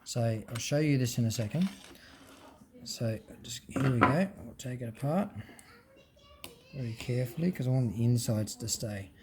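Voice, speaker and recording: A man's voice: mid-range voice, expressive, normal speed, pauses often, background noise. A man casually explaining a hands-on teardown, talking off the cuff with frequent ums and restarts. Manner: unscripted explaining with fillers and restarts. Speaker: hobbyist presenter. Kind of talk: casual show-and-tell teardown.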